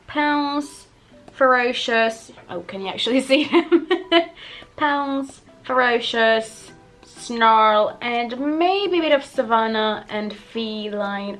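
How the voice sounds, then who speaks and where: A young woman with a slightly croaky voice after the flu, chatting casually through a makeup tutorial and often correcting herself. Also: fairly high pitch, fairly bright tone. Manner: chatty, self-correcting, giggly asides. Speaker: beauty vlogger.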